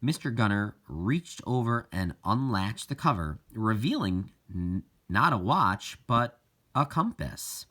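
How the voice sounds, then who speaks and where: Slow, low-pitched man reading a story. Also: big pitch swings, bright, forceful tone, breathy edge. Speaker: audiobook reader. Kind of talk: scripted narration, reading a children's novel aloud.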